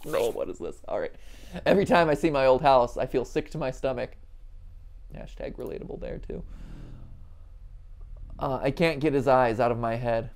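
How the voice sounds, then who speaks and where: A man in his thirties hosting a gaming stream with casual, animated, joking reaction commentary full of exclamations. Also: mid-range voice, normal speed, creaky, long pauses. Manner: animated, jokey asides, exclamatory. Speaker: livestream gaming host. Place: small furnished room, on a couch.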